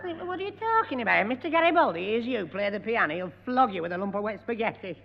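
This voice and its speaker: A man's voice: sits high, sing-song, fast talker, creaky, a little hiss or hum, exaggerated voice. An actor playing a dim, good-natured young man in a 1960s radio sitcom, chatting in an easygoing way. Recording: dull echoey 1960s radio recording.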